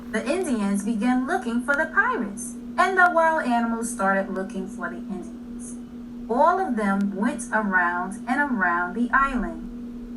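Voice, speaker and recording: A woman reading a children's story aloud in an expressive, deliberate way, leaning on key words. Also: medium pitch. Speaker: storybook reader. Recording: distant mic with clear background noise.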